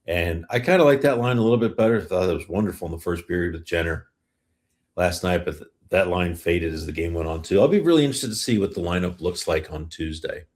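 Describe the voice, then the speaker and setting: Low-voiced North American sports podcast host. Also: male voice, fast, darkish tone. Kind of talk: casual sports discussion.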